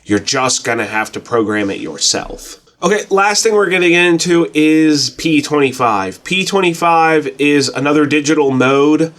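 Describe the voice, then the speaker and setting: A man's voice: fairly high pitch, expressive, normal speed, few pauses, fairly bright tone. Plainspoken man explaining things casually. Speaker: presenter of a radio tutorial channel. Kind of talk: casual explanatory tutorial talk.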